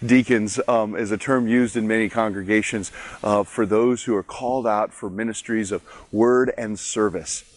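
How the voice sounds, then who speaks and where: A man, a pastor, giving a conversational daily devotional, explaining a Bible chapter with frequent restarts. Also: lowish voice.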